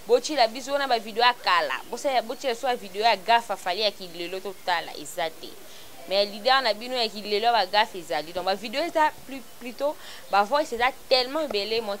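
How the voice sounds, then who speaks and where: A woman's voice: medium pitch, a bit bright, a little hiss or hum. A woman from Congo, hosting an online celebrity news show in a chatty, casual commentary style. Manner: chatty, mixing French and Lingala freely.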